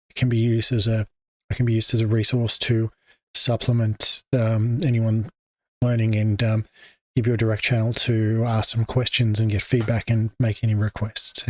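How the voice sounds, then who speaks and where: A man's voice: medium pitch, no room sound. Hesitant Australian man, dry sound. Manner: hesitant, with many fillers and restarts. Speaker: English teacher hosting a livestream. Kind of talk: unscripted livestream talk. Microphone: desk microphone.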